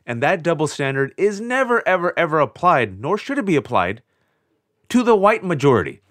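A middle-aged man from California, a guest author on an interview podcast, telling stories with animated, sardonic shifts in his voice. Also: no echo, clean studio sound. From California, USA. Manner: animated, sardonic storytelling with quick shifts in pitch. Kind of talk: interview conversation.

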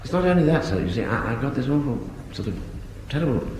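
A man plays a polite, hesitant middle-aged sergeant. His understated delivery has a lilting, varied pitch, heard in a noisy, hollow echo. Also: sing-song, fast, natural voice. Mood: diffident. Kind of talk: British radio sitcom acting. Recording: noisy, echoey, hollow reverberant vault sound.

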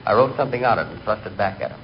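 A man's voice: lowish voice, lively intonation, rapid-fire. An actor playing a hapless, melancholy man who narrates his humiliations in a 1940s radio comedy. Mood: rueful, rattled. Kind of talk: comic first-person narration, 1940s radio. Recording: muffled, noisy 1940s radio recording.

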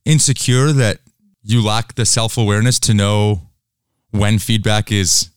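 A man's voice: lowish voice, fairly even intonation, unhurried, clean tone, dry recording, clean studio sound. A man hosting a podcast interview, asking direct and probing questions. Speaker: podcast host.

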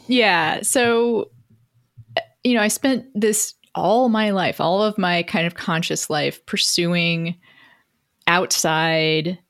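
Female interview guest, middle-aged. Slightly low pitch, candid conversational storytelling, reflective with wry self-deprecation. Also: a bit bright.

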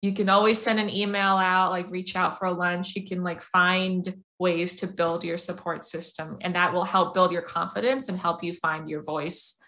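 A young woman, an animation filmmaker, talks through her answers with frequent restarts and searching pauses. Her voice sits a little low for a woman, and it is bright and forceful. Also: level tone. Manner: halting, searching for words with many restarts. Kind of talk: casual interview answers.

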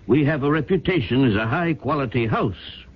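An actor in a radio drama playing a fussy, deferential office manager with a formal, measured manner. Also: male voice, mid-range voice, expressive, slightly rough. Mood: prim. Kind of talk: 1970s radio drama acting. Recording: muffled old radio broadcast.

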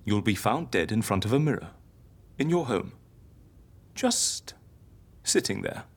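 A confident, theatrical death faker in an audio drama. Her voice is low and husky, swinging widely as she explains like an eager teacher. She is philosophical, encouraging and sure of herself. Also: lively intonation, measured pace, full character voice. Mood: measured, scheming. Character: theatrical, confident woman who fakes deaths for clients.

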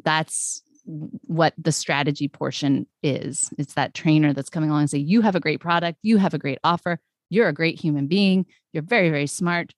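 Low-voiced animated woman, dry sound. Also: normal speed, hardly any pauses, no echo. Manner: animated, laughs often, explains with analogies. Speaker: podcast guest, brand strategist. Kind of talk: casual interview chat.

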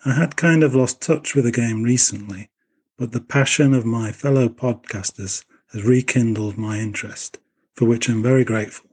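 Mellow, husky middle-aged Yorkshireman. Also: mid-range voice. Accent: Yorkshire English. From Leeds, England. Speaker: podcast co-host. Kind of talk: scripted self-introduction.

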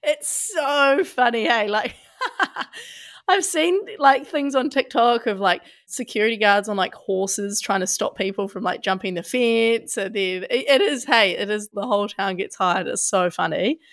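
A young adult woman from Australia co-hosting a podcast, talking casually with a husky voice from a head cold and many fillers. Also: unhurried, a bit bright, dry recording. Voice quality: husky, deep. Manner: chatty, laughs a lot, many fillers like "hey". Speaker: podcast co-host. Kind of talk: casual chat.

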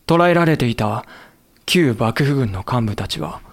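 A young actor playing a loyal samurai officer who narrates soberly and pleads with fiery passion. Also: male voice, mid-range voice, lively intonation, moderate pace, close to an everyday voice. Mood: sober narration. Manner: passionate, rising to near shouting when pleading. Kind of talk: audio drama acting and period narration.

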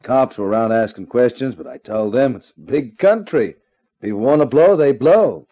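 An actor playing a terse, offhand, evasive nightclub manager in a 1950s radio crime drama. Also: male voice, mid-range voice, animated, normal speed, dry recording, lightly acted. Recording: dull old radio drama recording.

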